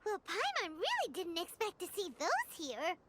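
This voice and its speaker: A woman voicing a tiny, chirpy, excitable fairy companion with a squeaky childlike voice in a video game. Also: moderate pace, background noise, full character voice. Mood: relieved. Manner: refers to self in third person, exclamatory. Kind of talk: cartoonish video game voice acting.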